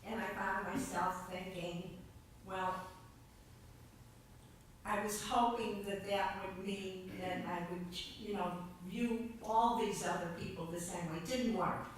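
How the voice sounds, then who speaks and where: A woman from the audience telling a personal story in a halting, hesitant way, saying she has a loud voice. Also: mid-range voice, fairly even intonation. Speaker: audience member. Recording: echoey room, distant mic, faint noise.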